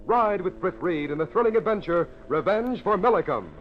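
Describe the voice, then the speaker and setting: A man's voice: sits high, lively intonation, normal speed. A man announcing and narrating an old-time radio drama in an emphatic, theatrical scripted style, on a muffled, noisy recording.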